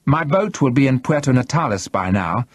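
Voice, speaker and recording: A man voicing a defiant male thriller hero in an audiobook, keeping his voice controlled and calm. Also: mid-range voice, moderate pace, dry recording, voice pushed up, natural voice. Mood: defiant bluffing. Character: defiant male first-person thriller hero, bluffing calmly. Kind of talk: audiobook character acting.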